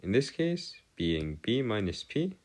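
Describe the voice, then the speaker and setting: Slow, deep-voiced man tutoring. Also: big pitch swings, a little mellow. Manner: halting, pauses to double-check himself. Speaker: math tutor. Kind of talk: lesson, working through equations step by step.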